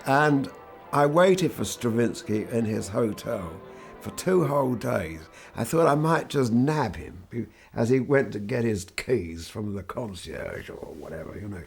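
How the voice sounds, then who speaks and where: An older man, a guitarist, telling anecdotes from his life in a relaxed, wry, conversational way. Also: mid-range voice, unhurried, vocal fry, faint music behind. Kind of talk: reflective anecdotal storytelling.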